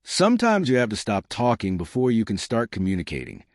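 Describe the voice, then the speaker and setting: Male narrator as wise human diplomat. Soft-spoken, humble, reflective, low register, sci-fi audiobook. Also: huge pitch swings, brisk, dry recording, clean studio sound.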